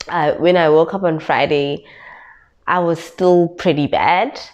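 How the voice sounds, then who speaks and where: A woman's voice: deep voice, darkish tone, highs cut off. A young woman from South Africa, congested and stuffy, casually retelling her illness in a vlog and repeating herself for emphasis. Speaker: vlogger.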